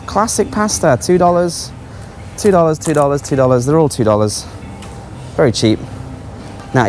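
A man talks casually as he films a walk-around vlog in a large store. His delivery is chatty and offhand, with exclamations, at a middling pitch. Music plays clearly behind him. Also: a little mellow. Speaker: travel vlogger. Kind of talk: casual running vlog commentary. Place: large supermarket aisles.

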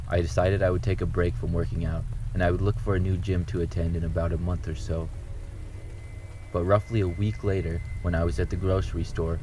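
Man, horror story narrator, deep voice, monotone delivery, quiet music bed. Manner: calm, steady first-person reading. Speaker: narrator reading submitted stories.

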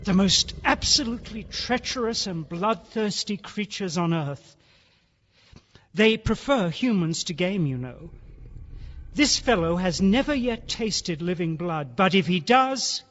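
An actor playing a genial, sly gentleman who keeps exotic beasts, effusive and genteel in a theatrical radio drama style. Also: sits high. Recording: dull, noisy old radio-drama recording.

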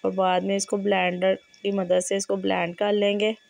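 Hoarse woman explaining a home recipe. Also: mid-range voice, normal speed, no room sound. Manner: steady step-by-step instructions, repeats measures. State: hoarse, has a fever. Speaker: cooking channel host. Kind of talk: home cooking tutorial. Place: home kitchen, indoors.

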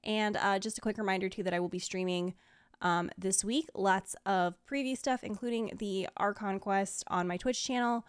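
A woman's voice: medium pitch, fairly even intonation, moderate pace, clean tone. A young woman chatting casually to her viewers as a streamer, informal and effusive. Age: young adult. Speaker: streamer and video host. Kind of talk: casual reaction chat. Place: small home streaming room. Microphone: close desk mic, headset worn.

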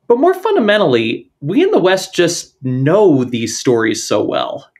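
A male podcast host with a lively, animated voice that rises and falls freely. He opens with a prepared intro, then shifts into relaxed conversation with his guest. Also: a little high. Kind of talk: scripted intro then casual interview.

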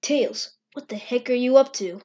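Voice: mid-range voice, normal speed, mellow, voice pushed down, lightly acted. A performer voicing a cocky, teasing young male hedgehog with slangy talk in an amateur cartoon comic dub. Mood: casual. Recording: dull, lo-fi screen-recorded sound.

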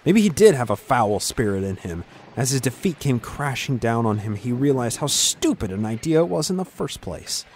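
A man's voice: mid-range voice, sing-song, normal speed, darkish tone. A man reading a scripted fantasy adventure as a dramatic narrator. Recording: clear background ambient noise.

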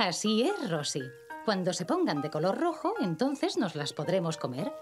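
A woman's voice: lowish voice, big pitch swings, moderate pace, music in the background. A woman playing a patient, affectionate mother of young children in a Castilian Spanish cartoon dub. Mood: patient, reassuring.

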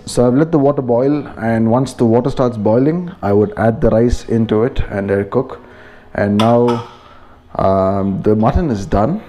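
Mellow-voiced Indian man narrating home cooking. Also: medium pitch, moderate pace. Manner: informal step-by-step explaining. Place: home kitchen, indoors.

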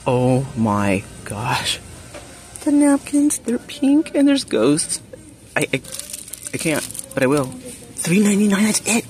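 A woman vlogging as she shops in a big home goods store, chatting casually to viewers with frequent gasps and excited exclamations. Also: low voice, slow, long pauses. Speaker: shopping vlogger. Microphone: phone camera held while shopping.

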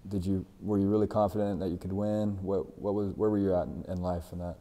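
A man's voice: sits low, flat delivery, brisk, dark tone. A man hosting an interview, friendly and loose, with frequent "uh" and restarted questions. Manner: friendly, with frequent "uh" and repeated starts. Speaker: interview host, jiu-jitsu instructor. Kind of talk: casual interview. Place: gym with large windows, indoors. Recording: long reverb, faint music behind.

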